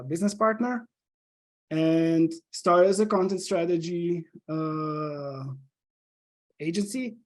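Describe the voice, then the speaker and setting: A man in his thirties from Slovenia with a Slovenian accent, chatting eagerly as a podcast guest, with frequent ums and uhs. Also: on the high side. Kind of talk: casual interview chat.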